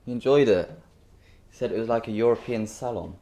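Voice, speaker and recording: A man's voice: lowish voice, brisk, mellow, close to an everyday voice. An actor playing an earnest, defensive man in a bickering romantic couple, speaking in an understated, naturalistic stage drama style. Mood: quietly defensive.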